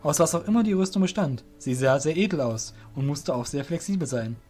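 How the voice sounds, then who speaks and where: A man reads scripted fantasy narration in a somewhat high voice. His delivery is deliberate and descriptive, and faint music runs behind it. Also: animated, darkish tone.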